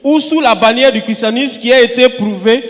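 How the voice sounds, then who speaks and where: A man's voice: extremely high pitch, a little fast, a bit bright. A man from Côte d'Ivoire, preaching an emphatic sermon and often repeating words as he restarts. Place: church sanctuary. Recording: long hall reverb.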